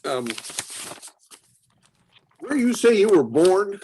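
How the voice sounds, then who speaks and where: A man performs a gruff inventor in a stage drama, speaking slowly in a fairly high male voice. He is folksy and blustering, halting and rambling as he goes, cagey and hard of hearing. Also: big pitch swings, darkish tone, a little hiss or hum, heavily acted. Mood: probing. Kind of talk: dramatic play reading. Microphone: video call.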